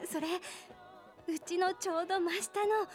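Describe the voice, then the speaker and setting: A woman's voice: extremely high pitch, steady tone, a little slow, soft background music. An actress playing a flighty, nosy young woman in a radio drama, talking in a casual, girlish, chatty and pleading way. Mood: excited gossip. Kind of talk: radio drama acting, casual conversational Japanese.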